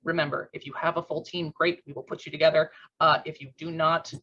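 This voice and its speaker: A man explaining club updates in an online meeting, talking informally with lots of fillers, his voice rough and strained after a workout. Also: on the high side, flat delivery, a little fast, bright, forceful tone. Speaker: club board secretary. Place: at home on a video call. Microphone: computer mic on a video call. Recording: muffled video call audio.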